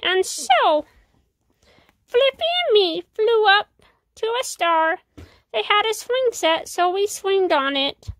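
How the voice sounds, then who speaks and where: A woman's voice: very high voice, expressive, slow pace, a bit bright, dry recording, heavily acted. A woman voicing a curious, kind little kitten child in a storybook, with an eager, childlike manner. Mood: eager, sweet.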